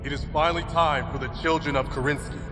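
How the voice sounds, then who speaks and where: A man's voice: fairly high pitch, steady tone, quick pace, background noise, natural voice. A man playing a commanding clan leader who gives a declamatory, rousing speech to fellow rulers. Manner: declamatory, swelling to shouts. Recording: echoey hall with loud music. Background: loud music.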